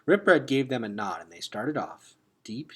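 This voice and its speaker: A man reading a children's adventure novel aloud like a teacher, expressive and dropping into hushed tones for suspense. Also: medium pitch, moderate pace, husky. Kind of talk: dramatic read-aloud narration of a children's novel.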